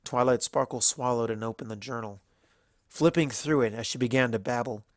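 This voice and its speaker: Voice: mid-range voice, a little fast, dry recording, clean studio sound. A narrator reading fan fiction aloud as scripted, dramatic storytelling.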